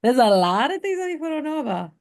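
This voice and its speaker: A woman's voice: fast, creaky. A middle-aged woman, an actress, chatting casually over a video call and acting out what others said. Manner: animated, acts out quoted people with big jumps in pitch. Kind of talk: casual conversation.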